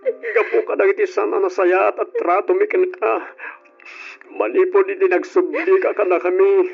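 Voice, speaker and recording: A man's voice: high-pitched, fairly even intonation, normal speed, creaky, slightly rough, full character voice. A man playing a grieving son who cries and begs forgiveness in an old radio drama. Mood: remorseful. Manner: crying, pleading. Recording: lo-fi old radio recording with music.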